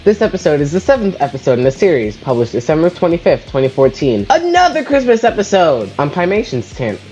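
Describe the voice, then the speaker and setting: A man's voice: on the high side, big pitch swings, fast talker, darkish tone, dull audio, faint music behind. A young man narrating a casual scripted episode review as host, informal and lightly swearing.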